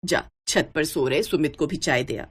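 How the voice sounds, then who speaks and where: A woman's voice: sits low, moderate pace, background noise, pitched below the performer's own voice, lightly acted. A woman voicing a stern, exasperated elderly mother-in-law in a story, scolding and commanding. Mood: exasperated, ordering.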